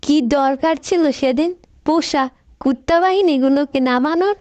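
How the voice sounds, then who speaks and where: A woman acts out a deposed lady leader from a comic cartoon, high in pitch and imperious. She scolds and mocks theatrically in colloquial speech. The sound is close, dry and slightly dull. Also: moderate pace, darkish tone, no echo, lightly acted. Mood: scolding, disgusted.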